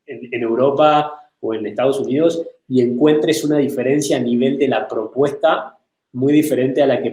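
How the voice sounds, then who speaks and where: A man's voice: medium pitch, darkish tone. A man speaking on a panel, hesitant, often repeating words as he looks for them. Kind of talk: panel discussion. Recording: roomy echo.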